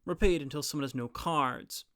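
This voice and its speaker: Clear, higher-pitched man reviewing. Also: normal speed, pushed and bright. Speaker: reviewer presenting an audio drama guide. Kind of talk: scripted review narration with sweary asides.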